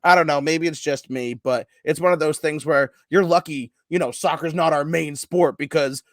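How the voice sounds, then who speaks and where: A man co-hosting a casual sports podcast, animated and profane, now and then bursting into loud yelling. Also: on the high side, moderate pace, no echo, studio quality. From United States. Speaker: podcast co-host.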